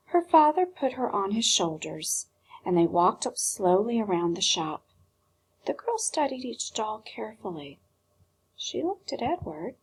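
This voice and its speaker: A woman teacher reading a storybook aloud expressively, with dramatic pauses and hushed phrases. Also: medium pitch, slightly rough, low-quality recording.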